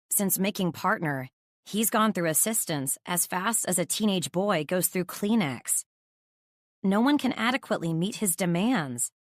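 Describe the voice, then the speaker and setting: A woman's voice: fairly low pitch, no echo, studio quality. A woman playing an anxious, wry young assistant, narrating a first-person romance audiobook in a dramatic, self-deprecating way.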